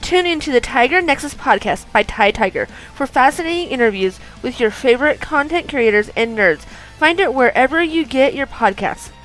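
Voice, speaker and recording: A woman's voice: high-pitched, animated, normal speed, fairly bright tone, poor audio. A woman playing a perky young female anime heroine in a scripted podcast advert. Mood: perky, promotional. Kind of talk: scripted promotional ad. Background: music.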